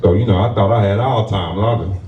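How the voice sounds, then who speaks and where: A man, a stage actor, tells a lively story to a live audience with laughs, then closes with motivational encouragement. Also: lowish voice, a little fast, lo-fi sound, background noise. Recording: roomy sound from a distant mic.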